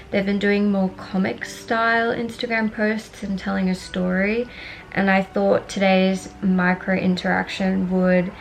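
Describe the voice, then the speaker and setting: Young woman vlogger, Australian accent, laid-back chatty voice-over, mid-pitched. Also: vocal fry, slight background noise, poor audio. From Singapore. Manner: relaxed, chatty, many "like" fillers. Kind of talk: casual voice-over narration.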